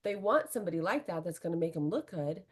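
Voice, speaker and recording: A woman's voice: on the low side, fast, breathy edge. A middle-aged woman, a relationship coach, speaking earnestly and candidly in an interview and often tagging her points with "right?". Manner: earnest, animated, fillers like "right?".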